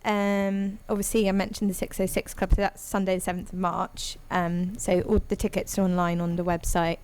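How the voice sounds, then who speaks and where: A woman's voice: mid-range voice, level tone, normal speed, darkish tone, clean tone. A singer-songwriter, a woman, chatting casually and rambling with many ums as a guest on a live audio room call. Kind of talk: casual chat after a live set.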